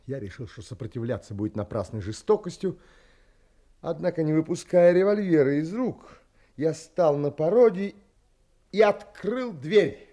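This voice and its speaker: A man's voice: fairly high pitch, expressive, moderate pace, warm tone. An actor playing a proud, indignant castaway who narrates his sea adventure in an audio drama, dramatic and literary. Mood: tense narration.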